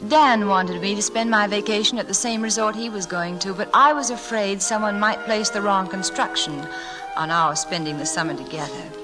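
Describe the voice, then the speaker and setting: A woman with an American accent playing a warm, romantic young schoolteacher in a classic radio drama. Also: medium pitch, lightly acted. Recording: old radio drama recording, music behind.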